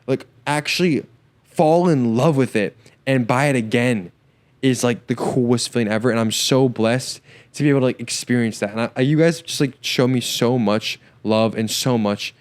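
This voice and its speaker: A man's voice: medium pitch, moderate pace. A young man hosting a solo podcast, talking casually and enthusiastically with lots of slang fillers and a slight stutter. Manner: enthusiastic, filler-heavy slang with frequent repeats. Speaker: podcast host.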